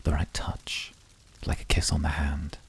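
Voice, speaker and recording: Casting: male actor as calm, brooding incubus, extremely deep, raspy, quietly seductive. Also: whispered, full character voice. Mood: intimate, seductive. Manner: measured, quietly seductive, intimate. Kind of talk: scripted flirty ASMR roleplay.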